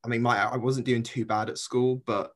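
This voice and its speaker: A young man from Cornwall, a PhD student guest, talking casually on a podcast with many pauses and fillers. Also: mid-range voice, flat delivery. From Cornwall, England. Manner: hesitant, many fillers, laughs lightly.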